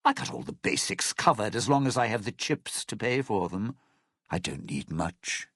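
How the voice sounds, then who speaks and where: An actor playing a cynical, scheming old lounge performer in a video game, with a gravelly, raspy voice and a sardonic, showy drawl. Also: male voice, fairly low pitch, animated, normal speed, a bit bright, no room sound, studio quality. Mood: wary, matter-of-fact. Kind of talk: video game character dialogue.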